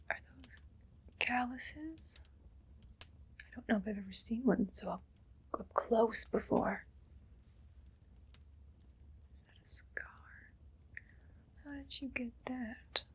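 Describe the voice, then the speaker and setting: Woman playing a slow-whispering, haughty evil queen. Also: a little high, exaggerated voice. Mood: curious, coolly cruel. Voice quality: breathy whisper. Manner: slow, condescending, possessive. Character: haughty, cruel evil queen pampering an obedient servant. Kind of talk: ASMR roleplay, soft whispering.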